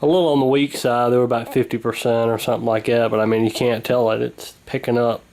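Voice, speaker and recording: A man's voice: mid-range voice, fast talker. Easygoing man talking through a radio repair. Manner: relaxed, rambling, many fillers. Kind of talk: casual workshop narration.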